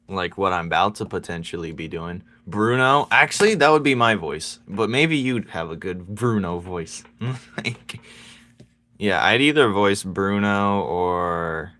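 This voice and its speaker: A man chatting casually with his viewers while he draws, playful and quick to laugh as he banters with them. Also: low voice, sharp tone, no room sound. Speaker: streaming artist. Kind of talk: casual chat while drawing.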